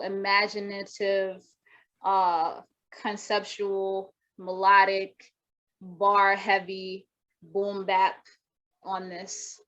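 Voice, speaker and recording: A young woman guest rapper with a naturally soft voice, talking casually on a video call, rambling with many restarts and fillers. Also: medium pitch. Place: home room with wooden shelves. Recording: dull lo-fi video call audio.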